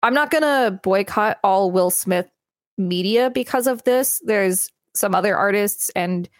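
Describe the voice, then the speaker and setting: A woman's voice: mid-range voice, measured pace, dry recording, studio quality. A woman co-hosting a podcast, moving between reading an article and casual chat. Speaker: podcast co-host.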